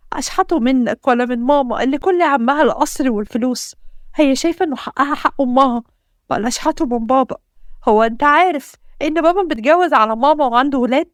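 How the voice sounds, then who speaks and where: A woman's voice: sits high, fast talker, no room sound, clean studio sound, voice pushed up. Woman putting on a bitter, wounded wife with an Egyptian accent. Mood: bitter, pleading. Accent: Egyptian Arabic. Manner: accusing, pleading questions. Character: hurt, bitter young wife.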